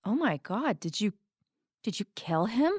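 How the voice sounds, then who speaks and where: A woman's voice: medium pitch, sing-song, unhurried, darkish tone, breathy edge, no echo, studio quality. A woman playing a sassy, blunt young cyborg sidekick in an audio drama, talking informally. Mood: exasperated. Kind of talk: audio drama character acting.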